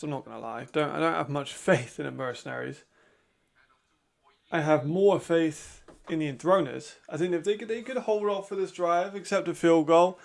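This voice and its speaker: A man hosting a watch-along, giving casual live commentary with a raspy voice, chatty and laughing often. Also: on the high side. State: hay fever.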